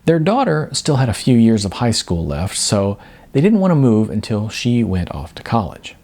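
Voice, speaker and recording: A man's voice: lowish voice, big pitch swings, moderate pace, darkish tone. A man, an author reading from his own novel in an audiobook narration style with casual asides.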